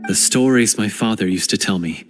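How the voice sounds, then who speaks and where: A man's voice: lowish voice, normal speed, faint music behind, natural voice. A man voicing a remorseful young male hunter in a game cutscene, speaking in an earnest, hesitant, self-reproaching way and stammering at times. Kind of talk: video game cutscene voice acting.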